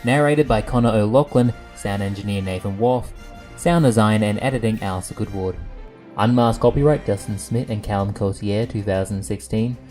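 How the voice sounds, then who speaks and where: Casting: male announcer for closing credits, lower voice, scripted delivery over soft music. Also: normal speed. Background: faint music behind the voice.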